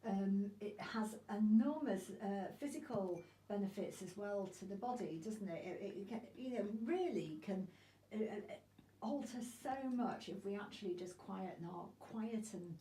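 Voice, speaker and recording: A woman's voice: mid-range voice, moderate pace, dark tone. A woman presenting a conversational radio interview in a studio, chatty and prompting her guest with questions. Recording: roomy, slightly distant, with clear background noise.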